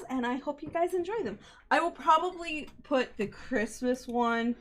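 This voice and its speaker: A woman hosts a livestream in a voice of middling pitch. She talks casually to her viewers, in a giggly and apologetic manner. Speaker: livestream host. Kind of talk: casual stream chat to viewers.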